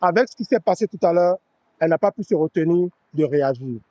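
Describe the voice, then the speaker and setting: A man hosting a casual interview, curious and friendly, often nudging with quick "ok"s. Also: fairly high pitch, animated, warm tone, muffled recording. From Benin. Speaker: show host.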